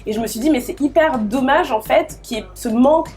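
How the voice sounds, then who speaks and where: A woman's voice: high voice, a little fast, a little mellow, faint music behind. A woman in her thirties from the Paris region, a guest in an interview who talks casually with frequent fillers. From Paris region, France. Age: 30s. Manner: chatty, with many fillers and restarts. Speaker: guest entrepreneur.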